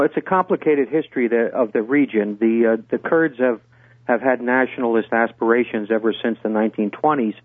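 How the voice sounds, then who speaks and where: An older man, a guest on a radio interview, speaking in a measured way with many hesitations and restarts. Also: medium pitch, no echo. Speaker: guest, former intelligence officer. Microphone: phone call. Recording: tinny phone line.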